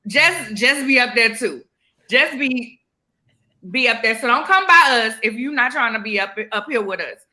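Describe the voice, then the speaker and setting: A woman in her forties hosting a casual chat show, animated and laughing often. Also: a little high, moderate pace. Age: 40s. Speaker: podcast host.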